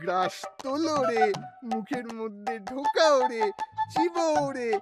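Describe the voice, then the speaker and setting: A man's voice: very high-pitched, expressive, measured pace. A man playing a carefree, penniless fakir in an audio story, merry and rambling, often repeating his first syllables. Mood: carefree, amused. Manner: stammering repeats, amused, rambling. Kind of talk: Bengali audio story acting. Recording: prominent background music.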